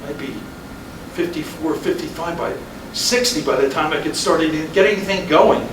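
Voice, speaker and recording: A man playing a weary husband in his fifties in a staged play reading, hesitant, halting and defensive. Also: fairly high pitch, recorded from a distance, close to an everyday voice. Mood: weary doubt. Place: roomy hall, staged reading at a college. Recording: noisy, echoey stage recording from afar.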